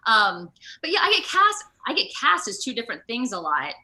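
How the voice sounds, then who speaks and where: An American woman talking casually in an interview over a video call, with an animated, rambling, self-deprecating manner. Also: a little high, fairly quick. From Ohio, United States. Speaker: actor being interviewed.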